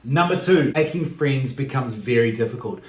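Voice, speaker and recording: A man's voice: medium pitch, animated, moderate pace, a little mellow. A man in his forties with a New Zealand expat accent, talking emphatically and directly to his viewers as a vlog host. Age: 40s. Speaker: YouTube channel host. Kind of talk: direct-to-camera vlog talk. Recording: echoey, roomy sound.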